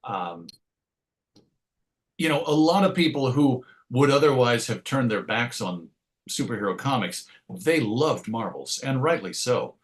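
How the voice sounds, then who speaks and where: Male interviewee, comics writer. Medium pitch, conversational, thoughtful, prone to rambling and rephrasing. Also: expressive, unhurried. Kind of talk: conversational interview answers, reflective.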